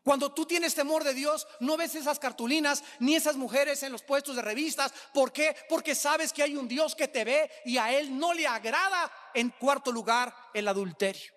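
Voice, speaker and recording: High-pitched, emphatic Mexican male preacher. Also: normal speed, few pauses, a bit bright. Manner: emphatic, repeats phrases for effect. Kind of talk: sermon. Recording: echoing hall with long reverb.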